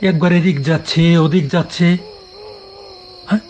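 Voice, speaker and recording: A man playing a curious, concerned gentleman resident in an audio drama, speaking in an exclamatory, questioning way. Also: on the high side, level tone, normal speed, close to an everyday voice.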